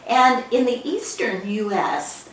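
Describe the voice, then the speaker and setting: Older American woman, author giving an informal talk, halting delivery, recorded at a distance with background noise. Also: mid-range voice, expressive, a bit bright. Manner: halting, with frequent pauses mid-sentence. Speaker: guest author and former newspaper editor. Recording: echoey room, distant mic, background noise.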